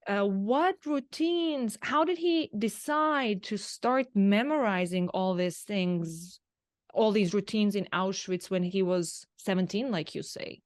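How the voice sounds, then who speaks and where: A woman hosting a podcast, asking questions attentively and listening with soft murmurs of agreement. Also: medium pitch, slow, dry recording. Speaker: podcast host and interviewer. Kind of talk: podcast interview.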